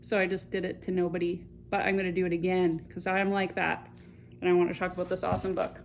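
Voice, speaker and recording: A woman hosting a children's story time, reading and chatting in an expressive, conversational way straight to young viewers. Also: fairly low pitch, quick pace, gravelly, a little hiss or hum. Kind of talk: picture-book read-aloud with chatty asides.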